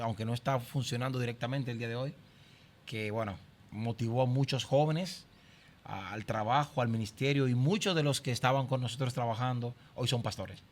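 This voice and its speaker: A middle-aged man from Santo Domingo with a Dominican accent, speaking conversationally as a pastor and podcast guest sharing his personal story. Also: medium pitch. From Santo Domingo, Dominican Republic.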